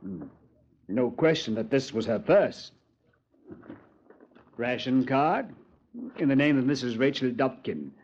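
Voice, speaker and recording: A male actor plays a British police commander in vintage radio drama. He recounts things in a measured, understated way, with a middling voice on a dull, band-limited recording. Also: mid-range voice, expressive, a little mellow, lightly acted. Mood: composed, recounting. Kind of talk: 1950s radio drama, conversational narration.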